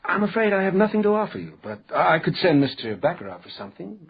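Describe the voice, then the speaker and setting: An actor playing a young, authoritative judge in a radio crime drama, dryly courteous and curt. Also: male voice, sits high, very animated, quick pace, gravelly, lo-fi sound, exaggerated voice. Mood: urbane, courteous. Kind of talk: detective radio drama acting.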